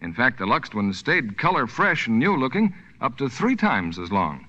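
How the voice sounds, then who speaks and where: A man's voice: mid-range voice, very animated, normal speed, pushed and bright. An American man announcing scripted commercials in the manner of 1940s radio. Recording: reverberant, dull lo-fi 1940s radio recording.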